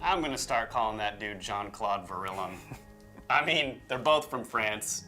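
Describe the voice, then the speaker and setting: A man's voice: on the low side, lively intonation, measured pace, bright, forceful tone, soft background music. A man co-hosting with casual commentary and banter, informal and jokey in a slangy way. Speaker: co-host.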